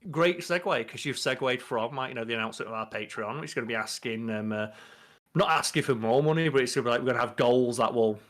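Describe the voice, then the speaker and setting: A man's voice: medium pitch, fast talker, fairly bright tone. A young British man co-hosting a podcast with casual, loose banter. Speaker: podcast co-host.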